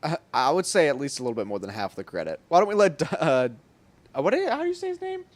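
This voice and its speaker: A man's voice: a little high, very animated, fast talker, no echo. A young man giving casual let's-play commentary, joking and reacting in slangy language. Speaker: gaming streamer.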